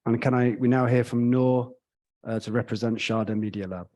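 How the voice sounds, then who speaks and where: A man moderating a panel discussion, introducing guests and posing questions in a measured, formal way. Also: medium pitch, fairly even intonation, warm tone.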